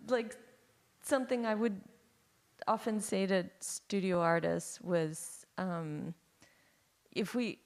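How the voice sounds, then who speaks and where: A woman's voice: medium pitch, slow, pauses often. A woman in the audience asking a lecturer a question, speaking through a mask in a searching, tentative way. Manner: searching, tentative, uses fillers like "like".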